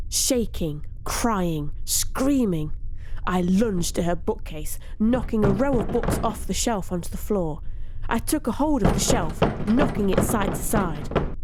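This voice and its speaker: A woman reading a first-person story aloud in an expressive, theatrical storytelling manner. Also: medium pitch, slight background noise, low-quality recording. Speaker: story narrator.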